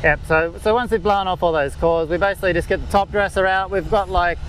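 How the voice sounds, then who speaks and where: A man, explaining golf green work in a relaxed way with many fillers, recorded outdoors on a course. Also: high voice, fast, slight background noise. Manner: laid-back, lots of "like" fillers. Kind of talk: casual explanatory interview.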